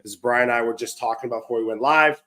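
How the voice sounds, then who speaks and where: A hoarse man, talking upbeat and chatty on his live stream, full of ums and restarts. He sounds like he's on a desk mic over a video call. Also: medium pitch, very fast, bright, forceful tone. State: hoarse from a cold. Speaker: livestream show host. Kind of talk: casual live-stream chat.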